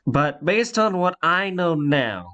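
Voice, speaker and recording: Congested young man, slow rambling story. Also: on the high side, very animated, bright, forceful tone, lo-fi sound. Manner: rambling, halting, many fillers and restarts. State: congested from a cold. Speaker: YouTuber telling a story. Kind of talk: casual storytime monologue.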